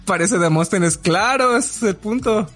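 A man's voice: sits high, measured pace, a bit bright. A man from Yucatán, Mexico, co-hosting in casual banter with a playfully reluctant, hesitant manner. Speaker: show co-host. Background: background music.

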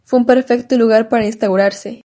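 A woman's voice: on the high side, fairly even intonation, normal speed, mellow. A woman narrating a scripted historical radio drama.

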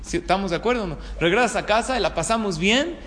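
Mexican male rabbi, higher-pitched voice, informal sermon full of questions, echoing hall with room noise. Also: animated, fast, fairly bright tone. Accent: Mexican Spanish. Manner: conversational, checking in with listeners through rhetorical questions. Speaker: rabbi giving a talk. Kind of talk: informal religious sermon with anecdotes. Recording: reverberant hall, clear background room noise.

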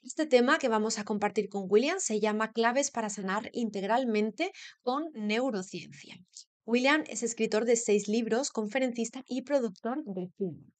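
A woman's voice: a little high, normal speed. A woman hosting an interview, formally introducing and welcoming her guest. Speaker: interview host. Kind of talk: scripted introduction.

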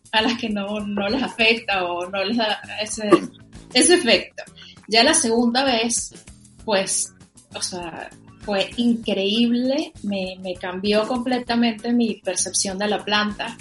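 A woman's voice: a little high, a little slow, faint music behind. A young Venezuelan woman with a Venezuelan accent, chatting enthusiastically as a guest on a podcast, with lots of fillers. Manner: enthusiastic, many fillers, animated. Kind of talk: casual podcast interview.

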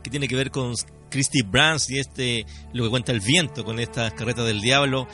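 A man's voice: medium pitch, fairly even intonation, normal speed, bright, forceful tone, faint music behind. A man presenting conversationally as a radio host.

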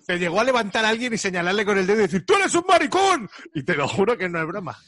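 A man with a Madrid accent leads a relaxed group conversation as host. His voice sits a little high and is recorded close and dry. He jokes, teases and talks in a loose, colloquial way. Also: quick pace, dry recording. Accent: Madrid Spanish. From Madrid, Spain. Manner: joking, teasing, colloquial. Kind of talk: casual group chat.

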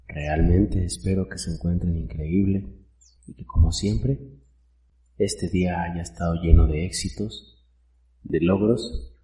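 A man guiding a meditation in a soft, warm, calm and gentle voice, unhurried and full of pauses. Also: sits low. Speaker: host and guide of a guided meditation show. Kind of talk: guided meditation, soothing narration. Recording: roomy echo, distant mic, soft music.

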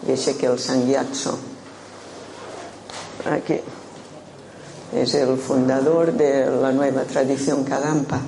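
Slow, mellow Menorcan woman teaching. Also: deep voice. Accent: Menorcan Spanish. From Menorca, Spain. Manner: unhurried, thoughtful, with frequent hesitations. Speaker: Buddhist teacher. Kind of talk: informal talk to an audience. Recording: roomy hall with faint background noise.

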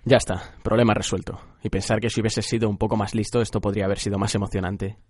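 A man's voice: fairly low pitch, level tone, quick pace, darkish tone, natural voice. A man playing a cold, arrogant young man who sees himself as a god of justice, solemn and grandiose, in an anime radio drama.

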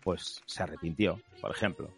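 Low-voiced man chatting about films. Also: moderate pace, creaky, husky, faint music behind. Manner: enthusiastic, shares trivia and anecdotes. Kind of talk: casual film chat.

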